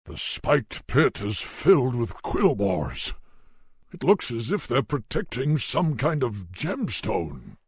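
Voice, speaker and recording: Slow, gravelly old beast-man chieftain. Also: very animated, dry recording, clean studio sound, full character voice. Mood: solemn awe. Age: older. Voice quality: deep, gravelly, weighty. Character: old wise male tauren chieftain, huge, solemn, gentle. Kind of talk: fantasy video game acting.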